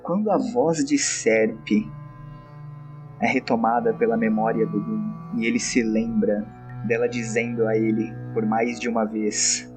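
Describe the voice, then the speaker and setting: A man's voice: medium pitch, fairly even intonation, a little slow. A man playing a tabletop role-playing game, narrating his character's actions in a dramatic storytelling style. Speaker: role-play game player. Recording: clear music behind the voice.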